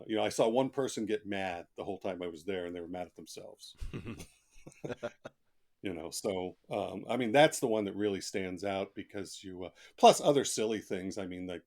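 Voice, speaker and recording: A man's voice: low-pitched, normal speed, darkish tone. A man speaking as an author guest on a podcast, telling stories in a casual, colloquial and emphatic way. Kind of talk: casual podcast interview, anecdotal storytelling.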